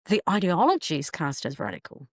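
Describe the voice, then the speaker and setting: A woman's voice: low-pitched, wildly swooping pitch, brisk, no echo, studio quality. A woman professor speaking as an interview guest, thoughtful and hesitant, with frequent ums. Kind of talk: reflective conversation.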